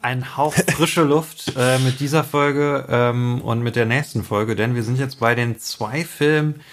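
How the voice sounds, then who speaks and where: Ein Podcast-Mann mit deutschem Akzent berichtet im Plauderton und mit vielen Pausen. Seine Stimmlage ist durchschnittlich. Er ordnet Fakten zu einem Film ein. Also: male voice, mid-range voice, steady tone, slight background noise. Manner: explains with many fillers, pauses and restarts. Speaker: podcast co-host. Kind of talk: casual film podcast chat.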